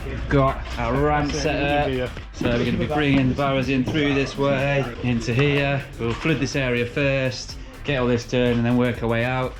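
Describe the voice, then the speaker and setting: A builder narrates his own site in an upbeat, step-by-step way. His voice sits at a middling pitch and an everyday pace. A clear music bed plays beneath him. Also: little pitch movement, normal speed. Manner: upbeat, explains work step by step. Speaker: builder presenting a build vlog. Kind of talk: casual on-site commentary.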